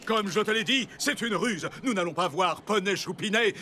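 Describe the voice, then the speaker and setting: Man voicing a pompous, high-pitched superhero puppet. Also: normal speed, natural voice. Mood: brash. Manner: grandiose, indignant, self-important. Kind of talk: French animated series dubbing.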